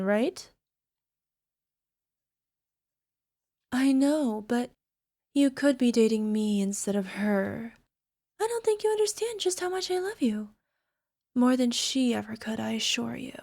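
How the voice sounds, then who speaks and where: A woman's voice: high voice, a little mellow. A woman playing a bubbly young alchemist who turns obsessively lovestruck, in a hesitant, giggly ASMR roleplay manner that slips into whispers. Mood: lovestruck, clingy. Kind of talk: ASMR girlfriend roleplay audio drama.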